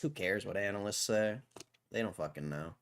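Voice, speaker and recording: Laid-back male streamer with a lowish voice, going hoarse, mutters and swears casually at his desk mic. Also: normal speed. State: losing his voice, throat-clearing. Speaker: stock market live streamer. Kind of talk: casual live stream chat.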